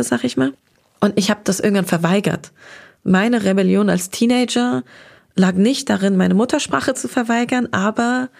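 Young woman, food critic type, gravelly fry in her voice, chatty and excited, rambly sentences. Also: mid-range voice. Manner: lively, enthusiastic about food, talks in long flowing sentences. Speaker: interview guest, restaurant critic. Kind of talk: relaxed conversational interview.

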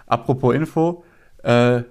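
A man's voice: medium pitch, unhurried, darkish tone, smooth. A man co-hosting a casual podcast chat, sounding a little stuffed up because he has a cold. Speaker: podcast co-host.

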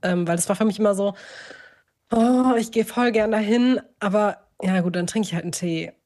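A woman's voice: mid-range voice, normal speed, darkish tone. A woman co-hosting a podcast in an informal, conversational chat. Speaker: podcast co-host. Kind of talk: casual chat.

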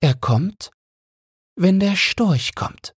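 A gentle Christmas angel voiced by a man in a storybook. The tone is lifted high and breathy, with earnest, slow delivery and swooping melody. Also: expressive, no room sound, studio quality, exaggerated voice. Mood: reassuring. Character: gentle, solemn Christmas angel counselling the old ruler.

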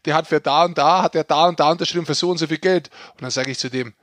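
A man chats informally on a sports podcast. His voice sits somewhat high, he talks fast, and the sound is very dry. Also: fairly bright tone, dry recording. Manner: informal, teasing. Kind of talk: casual sports podcast chat.

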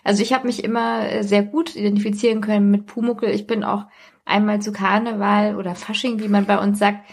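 A woman's voice: mid-range voice, little pitch movement, a little fast, slightly rough. A woman chatting casually and anecdotally on a podcast. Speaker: podcast co-host or guest.

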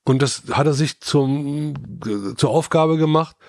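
A man on a podcast explaining things casually as a sound specialist, with halting phrasing. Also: fairly high pitch, no room sound, clean studio sound.

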